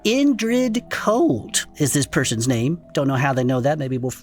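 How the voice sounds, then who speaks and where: A woman's voice: rumbling low, sing-song, a little slow, a little mellow, faint music behind, heavily acted. A woman playing a wry, skeptical, folksy cryptid investigator who questions everything, in conversational audio fiction. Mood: curious.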